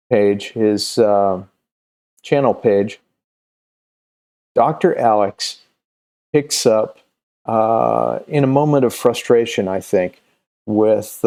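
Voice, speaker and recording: A man presenting casually and unscripted as a comics video host, halting and often searching for his words. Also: medium pitch, mellow. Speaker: comics video host and creator promoting his own campaign.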